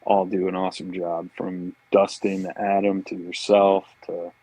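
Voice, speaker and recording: A man with a husky voice, a podcast guest chatting casually in an easygoing, affable buddy-to-buddy way. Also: sits low, little pitch movement, moderate pace, warm tone, no echo, low-quality recording. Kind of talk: casual chat.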